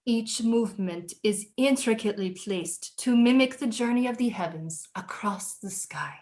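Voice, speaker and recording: A woman's voice: fairly high pitch, normal speed, a little mellow, natural voice. A woman playing an imperious, scheming French queen mother, grand and precise, in an audio drama. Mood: crisp, dry. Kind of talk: stage-style audio drama acting.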